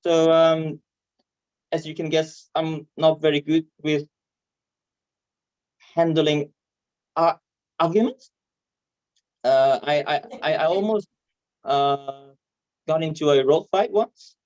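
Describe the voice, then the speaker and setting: A man from Vietnam with a Vietnamese accent and a stutter, performing stand-up comedy haltingly over a video call. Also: a little high, fairly even intonation, darkish tone, no echo. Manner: hesitant, halting delivery with repeated words. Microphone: video call microphone. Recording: dull, muffled online call audio.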